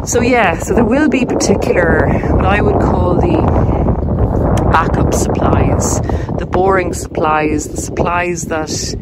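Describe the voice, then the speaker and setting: A woman giving an informal, explanatory monologue as a podcast host, posing questions and answering them in a conversational way. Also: low voice. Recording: loud vehicle and wind noise, clicks.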